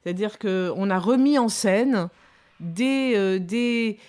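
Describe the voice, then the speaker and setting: A woman's voice: mid-range voice, slow, a little mellow. A woman in her fifties, a documentary filmmaker, giving reflective interview answers with many hesitations and restarts. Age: 50s.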